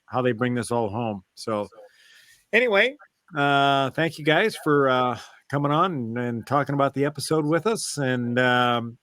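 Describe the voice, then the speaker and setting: A male podcast host with a mid-pitched voice, chatting casually. He tends to feel his way toward the right word, and the recording is dry, with hardly any room sound. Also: lively intonation, unhurried, fairly bright tone, dry recording. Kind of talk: casual chat.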